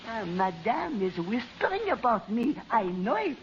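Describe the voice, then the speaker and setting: High-voiced sly little French thief. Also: male voice, sing-song, a little slow, lo-fi sound, heavily acted. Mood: suspicious, menacing. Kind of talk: 1940s radio serial acting. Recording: noisy, band-limited old radio broadcast.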